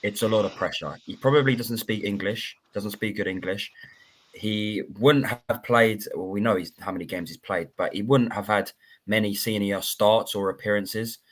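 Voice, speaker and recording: A man's voice: fairly low pitch, moderate pace. A man co-hosting a football podcast, making his points emphatically and repeating them. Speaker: podcast co-host. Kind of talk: casual football chat.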